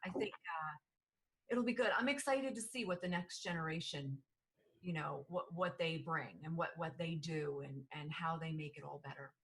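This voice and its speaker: Rambling New Jersey woman, low voice. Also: moderate pace, a bit bright, slight background noise, poor audio. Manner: rambling, many restarts and fillers. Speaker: podcast host. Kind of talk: casual interview chat.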